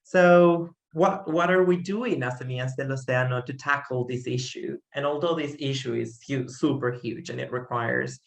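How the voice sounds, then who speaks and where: A man's voice: medium pitch, normal speed, vocal fry, hardly any pauses, echoey room. A young man from Guatemala with a Guatemalan Spanish accent, a native Spanish speaker giving a friendly, earnest presentation over a video call, with many fillers and restarts. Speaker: conservation organization founder. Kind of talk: online presentation talk.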